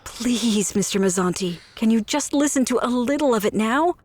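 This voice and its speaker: An actress playing an earnest young dental office worker, polite and pleading. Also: female voice, fairly high pitch, animated, moderate pace, no echo.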